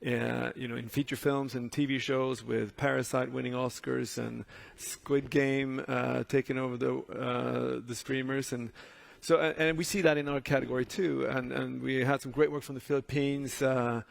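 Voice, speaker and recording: Male Swedish juror, native Swedish speaker, fairly even pitch range with gravelly fry, halting unscripted delivery. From Sweden. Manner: halting, repeats words while thinking. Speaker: jury member on a panel. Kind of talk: unscripted panel talk.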